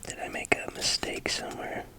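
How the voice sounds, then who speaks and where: A host whispering breathily in a casual, rambling ASMR style, playfully muttering and repeating phrases while putting something together. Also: moderate pace. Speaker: host of a build-along video.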